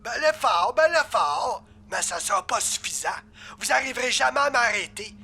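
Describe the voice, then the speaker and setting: A touchy cartoon devil with a very high, piercing voice and a Quebec French accent. He is a boastful, bombastic prince of darkness who flusters easily and protests indignantly. There is faint noise behind him. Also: expressive, moderate pace, gravelly, sharp tone, full character voice. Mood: menacing, boastful. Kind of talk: comic cartoon villain acting.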